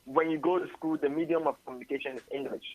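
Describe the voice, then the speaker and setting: A Ghanaian singer-songwriter answering radio interview questions at length, often adding "you know". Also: male voice, a little high, fairly even intonation, rapid-fire, dry recording, highs cut off. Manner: rambling, tags sentences with "you know". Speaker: singer-songwriter and label head.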